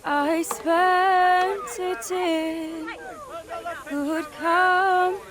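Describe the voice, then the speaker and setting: A woman singing a slow, wistful folk farewell ballad in a video game. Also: extremely high pitch, fairly even intonation, a crowd in the background, exaggerated voice. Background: fiddle music.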